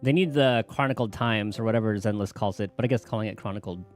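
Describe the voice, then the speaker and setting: A man's voice: fairly low pitch, quick pace, soft background music. A man giving casual commentary as he streams a game.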